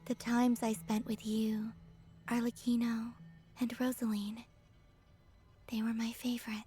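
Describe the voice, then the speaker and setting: Woman voicing ethereal game maiden, whispery, sorrowful, high-ish, slow, music clearly behind. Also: little pitch movement, mellow, natural voice. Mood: tender farewell.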